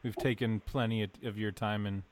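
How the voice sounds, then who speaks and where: A man's voice: fairly low pitch, steady tone, brisk, dry recording. A man co-hosting a podcast, asking rambling, hesitant questions in casual chat. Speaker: podcast co-host.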